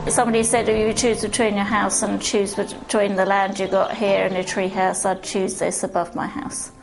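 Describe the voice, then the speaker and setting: Flat gravelly woman, earnest and halting. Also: mid-range voice, low-quality recording. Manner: hesitant, earnest, many restarts. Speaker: interviewee, treehouse owner. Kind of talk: casual interview answers. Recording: music under interview.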